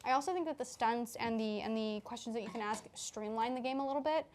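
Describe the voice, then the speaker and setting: A woman's voice: on the high side, quick pace, a little mellow, slight background noise. A talkative woman, a tabletop player, thinking aloud in a casual post-game chat.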